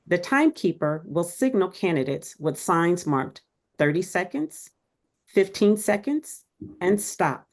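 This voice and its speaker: A woman moderating a candidate forum, reading the rules and introductions in a measured, formal, scripted way. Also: fairly low pitch, darkish tone.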